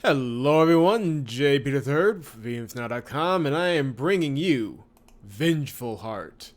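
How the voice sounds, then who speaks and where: A man hosting a visual novel playthrough, chatting casually and reading the story aloud in an expressive way with wry asides. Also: medium pitch, measured pace. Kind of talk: casual let's-play commentary with read-aloud narration.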